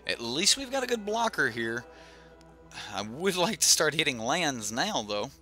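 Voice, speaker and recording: A man's voice: on the high side, big pitch swings, unhurried, sharp tone, soft background music. A young man casually commentating his own card game on a live stream, thinking aloud with lots of fillers and restarts.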